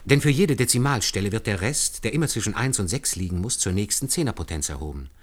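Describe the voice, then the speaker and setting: A man's voice: lowish voice, lively intonation, fast talker. An actor playing an earnest, deferential man who patiently explains hand arithmetic step by step in a German radio play. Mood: eager, explanatory. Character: earnest, deferential male calculator demonstrating hand arithmetic to superiors.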